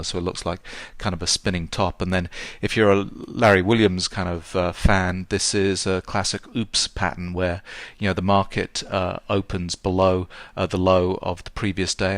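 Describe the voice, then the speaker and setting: Male market analyst, hoarse, very low and level delivery, hesitant and filler-heavy, dry close sound. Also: a bit bright, dry recording. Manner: halting, many fillers and hedges. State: hoarse, sore throat. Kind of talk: chart analysis lesson.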